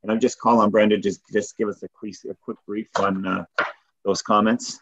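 A man's voice: lowish voice, little pitch movement, a little fast, fairly bright tone, breathy edge. Man chairing a council video call. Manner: procedural, repeats "going once, going twice". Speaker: deputy mayor chairing a council meeting. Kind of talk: formal meeting chairing. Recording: muffled video call audio.

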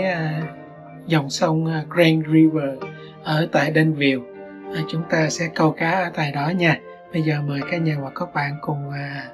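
A man's voice: fairly high pitch, darkish tone, muffled recording. A man with a Southern Vietnamese accent chats casually to viewers as a fishing vlog host, often addressing them directly. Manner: addresses viewers often, simple step-by-step explaining.

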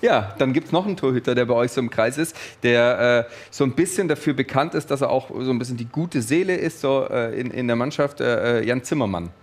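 A man's voice: mid-range voice, brisk, few pauses. Upbeat male host of a studio show. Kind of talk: studio interview hosting. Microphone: headset.

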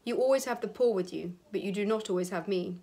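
Middle-aged woman, solemn Bible reading, mid-pitched voice, measured phrasing, indoor recording. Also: dark tone. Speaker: scripture reader. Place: indoor room with wood panelling.

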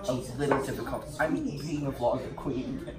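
A man's voice: mid-range voice, steady tone, normal speed. A young American man chatting casually in a wry, playful way in a home kitchen. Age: young adult. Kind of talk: casual chat. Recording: roomy echo, music behind. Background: loud music.

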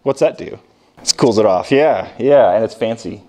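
An American man with a fairly low voice lectures like a history professor talking to his class. His delivery is informal and conversational, and he keeps tossing questions out to his listeners as he explains. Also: lively intonation, normal speed, warm tone.

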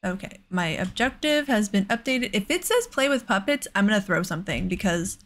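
A woman's voice: mid-range voice, expressive, a little fast. A woman hosting a casual let's play, with an exasperated, sarcastic way of talking. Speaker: gaming host.

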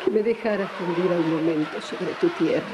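An older Argentine actress playing a frail, dying, loving woman, breathy and halting, in melodramatic radio soap style. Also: lowish voice, natural voice. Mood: fading. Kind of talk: classic radio soap opera acting. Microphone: large vintage studio microphone. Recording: noisy archive studio recording.

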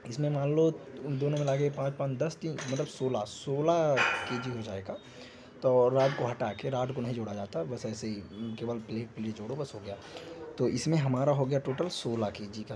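A young man from an Indian village talking casually to viewers as a fitness vlogger, often repeating his phrases. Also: medium pitch. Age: young adult. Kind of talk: casual workout vlog talk to viewers. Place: gym, later outdoors on a busy street. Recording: noisy phone recording with loud ambient noise.

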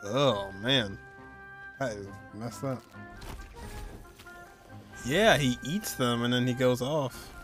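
A young man streams a game and reacts to it. His voice sits at a middle pitch and his delivery is casual and conversational. Music from the game plays behind him. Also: slow pace, long pauses, a bit bright.

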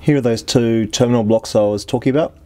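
A man's voice: mid-range voice, quick pace, warm tone. A man in his forties giving a how-to demonstration in a plain, practical, step-by-step way. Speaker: host of boat repair channel. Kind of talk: how-to demonstration, explaining steps. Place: workshop garage, indoors.

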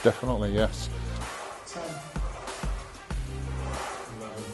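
A man in his fifties coaching a workout as a personal trainer in a gym, talking in a calm, steady, instructive way on a lapel mic. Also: lowish voice, unhurried. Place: indoor gym. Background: background music.

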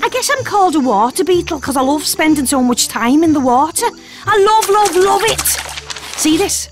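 A woman's voice: sits high, moderate pace, soft background music. A woman voicing an eager, boastful young water beetle who chats to the viewer in a children's cartoon. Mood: eager, proud. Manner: excitable, chatty, addressing the viewer. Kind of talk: children's cartoon character narration.

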